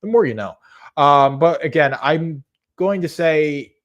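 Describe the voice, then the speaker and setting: A man, hosting a live stream in casual chat, rambling and hesitant with many fillers and restarts, earnest and softly amused as he answers chat. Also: fairly high pitch, measured pace, muffled recording. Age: 20s. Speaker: livestream host.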